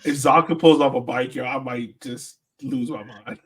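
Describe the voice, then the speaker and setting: A man's voice: medium pitch, steady tone, normal speed, slightly rough. A man hosting a sports podcast in casual, joking chat.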